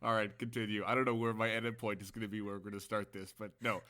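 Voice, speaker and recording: A man's voice: lowish voice, little pitch movement, quick pace, very noisy. A young man co-hosting a casual podcast with playful, teasing banter. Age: young adult. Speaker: podcast co-host.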